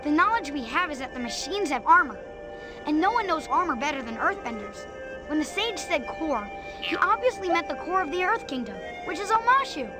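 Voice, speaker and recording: An actor playing an earnest, good-hearted young boy hero in an animated game. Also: high voice, brisk, low-quality recording. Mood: excited. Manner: youthful, earnest. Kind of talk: animated game cutscene acting. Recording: music behind the voice.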